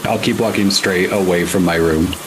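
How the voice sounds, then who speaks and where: A man casually improvises his character's actions in a tabletop roleplay. His voice is slightly low. Clear rain-like noise runs behind it. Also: fairly even intonation, moderate pace. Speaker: tabletop player.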